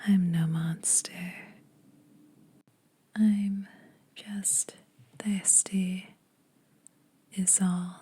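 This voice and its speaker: A woman playing a teasing, secretly kind vampire in an intimate, breathy whispered ASMR roleplay. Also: lowish voice, fairly even intonation, mellow, exaggerated voice. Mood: playful, reassuring. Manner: slow, intimate, dryly teasing. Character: teasing, lonely female vampire, ageless, secretly kind to mortals.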